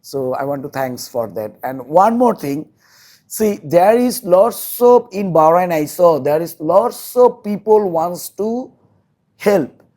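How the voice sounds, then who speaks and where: Slow-talking Bangladeshi man, earnest and halting. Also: high-pitched, expressive, mellow. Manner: halting, repeats phrases, earnest. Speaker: interview guest, volunteer social worker. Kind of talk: earnest interview talk in non-native English.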